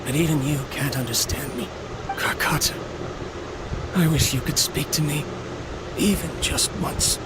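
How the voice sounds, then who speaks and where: A man's voice: on the high side, measured pace, long pauses, very noisy, close to an everyday voice. An actor playing a tired, sorrowful male researcher who talks to his machine in a game cutscene. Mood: weary. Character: weary, lonely male researcher building machines.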